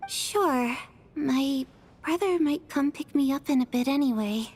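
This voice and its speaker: A woman's voice: sits high, a little slow, darkish tone. A woman voicing a meek, polite, unwell young girl in a video game, timid in manner.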